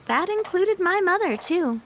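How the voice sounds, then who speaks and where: A high-voiced, earnest young woman leader. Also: lively intonation, measured pace, fairly bright tone, slight background noise, full character voice. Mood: casual, frank. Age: young adult. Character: young woman leader, cheerful, resilient, reflective. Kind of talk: English video game voice acting.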